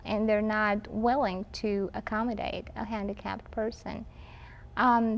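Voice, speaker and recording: Gravelly-voiced young woman from Romania. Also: on the high side, a little mellow. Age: 20s. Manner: measured, frequent "um" and "uh" pauses. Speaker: university professor and interview guest. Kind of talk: interview answers. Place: campus film set, indoors.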